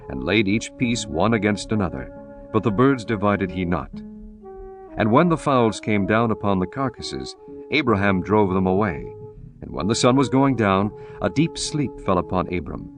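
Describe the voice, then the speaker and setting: A man reading scripture aloud as a narrator, slow, measured and solemn. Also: fairly low pitch, animated, music in the background.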